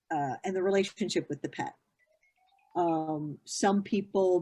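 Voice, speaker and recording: A woman's voice: lowish voice, darkish tone, husky. A woman in her fifties, a grief therapist, answering interview questions in an earnest, explanatory way with many fillers and restarts. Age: 50s.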